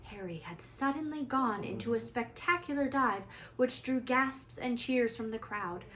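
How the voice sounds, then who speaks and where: A woman teacher reading a children's novel aloud in an expressive storytelling style. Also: a little high, measured pace, a little hiss or hum, rough recording.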